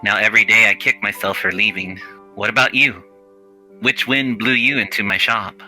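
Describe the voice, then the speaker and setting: Man playing a bright, low-voiced bookish shopkeeper. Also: fairly even intonation, low-quality recording. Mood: wistful. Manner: courteous, measured, wistful. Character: gentle, bookish middle-aged male furry shopkeeper. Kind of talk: visual novel character read-aloud. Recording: music behind the voice.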